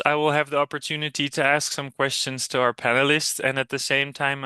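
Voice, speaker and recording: A man hosting a panel, moderating and explaining programs in a measured way with frequent fillers and restarts. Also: medium pitch, pushed and bright, smooth, no room sound. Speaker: host, program director.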